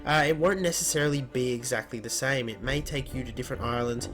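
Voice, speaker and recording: A man's voice: medium pitch, fast, music in the background. A man hosting a gaming news video, narrating in an informal scripted style.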